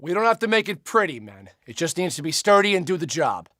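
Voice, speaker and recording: A man's voice: high-pitched, very animated, quick pace, fairly bright tone, no room sound, clean studio sound. A man playing a joking, foul-mouthed combat engineer in a war game, sarcastic and salty. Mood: sardonic. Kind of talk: video game unit voice lines.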